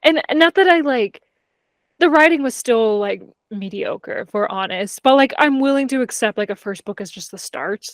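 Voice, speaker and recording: A woman's voice: fairly high pitch, lively intonation, moderate pace, dry recording, studio quality. A woman chatting casually and candidly about books as a podcast co-host, leaning on "like" as she talks. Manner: informal, candid, heavy use of "like". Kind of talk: casual book chat between friends.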